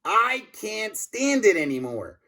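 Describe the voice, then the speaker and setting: A man voicing an exasperated, disgusted male school principal in a storybook, exclaiming in drawn-out words. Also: high-pitched, very animated, pushed and bright, voice pushed up, exaggerated voice.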